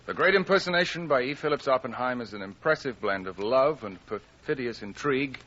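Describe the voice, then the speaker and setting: A man's voice: medium pitch, expressive, brisk, fairly bright tone. A man introducing a radio drama in a grand, theatrical scripted style on an old radio recording. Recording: dull, band-limited old radio recording.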